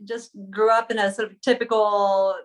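A woman's voice: mid-range voice, flat delivery, normal speed. A woman from Sweden, a podcast guest telling her story conversationally and searching for words. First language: Swedish.

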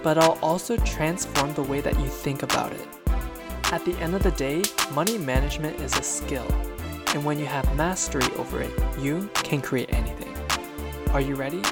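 A man's voice: on the high side, normal speed, loud music behind the voice. A man hosting a podcast interview, upbeat and eager as he introduces his guest and asks questions. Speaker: podcast host.

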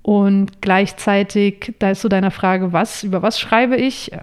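Smooth-voiced young woman explaining clearly. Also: medium pitch, steady tone, unhurried. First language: not English. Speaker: podcast guest, online writer. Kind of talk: conversational interview answers.

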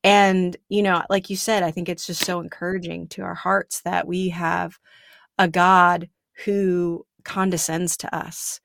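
A woman's voice: lowish voice, flat delivery, unhurried, vocal fry, a bit bright, a little hiss or hum. A woman speaking earnestly and emphatically in a casual faith conversation, building her points with repetition.

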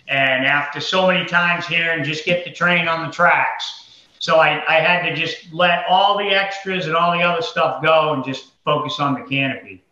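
A man's voice: on the high side, moderate pace, pushed and bright. A man with a Massachusetts accent telling his story casually as an interview guest, in a colloquial, folksy way. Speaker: entrepreneur guest. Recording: dull lo-fi, roomy echo.

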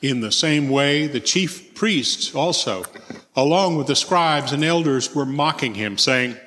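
A man reading scripture solemnly as narrator in a church service, phrase by measured phrase. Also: on the high side, animated, bright, forceful tone. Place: large reverberant church. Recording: long hall reverb.

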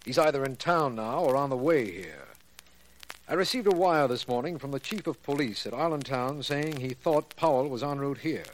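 An actor playing an earnest, cordial district attorney in a 1940s radio drama, explaining things formally. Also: male voice, mid-range voice, animated, moderate pace, close to an everyday voice. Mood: serious. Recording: noisy, crackly old radio recording.

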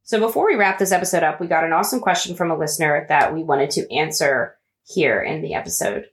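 Woman cohost, kinda low voice, chatty and loose. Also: fast talker. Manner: chatty, with many fillers and restarts. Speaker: podcast co-host. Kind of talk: casual conversation.

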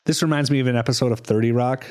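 A man guesting on a podcast, chatting casually with playful, animated banter. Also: mid-range voice, very fast. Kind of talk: casual chat, joking banter.

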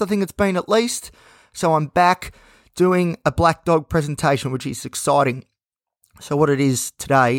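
A man hosting his own podcast, talking in a candid, rambling way with many fillers. He sounds a little croaky and nervous, with a dry mouth. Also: on the high side, no echo. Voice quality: slightly croaky. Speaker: podcast host. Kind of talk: casual solo podcast monologue.

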